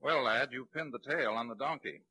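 An actor playing a shrewd police lieutenant in a crime drama, a probing interrogator who can sound falsely kindly. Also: male voice, mid-range voice, fairly quick, pushed and bright, slight background noise, natural voice, poor audio. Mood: coaxing. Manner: probing, soothing then blunt. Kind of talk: dramatic film-noir crime acting.

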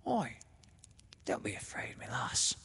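A man's voice: on the high side, very animated, slow pace, a bit bright, slightly rough, voice pushed up, full character voice. Man putting on an oily, sly little woman. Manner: wheedling and falsely polite.

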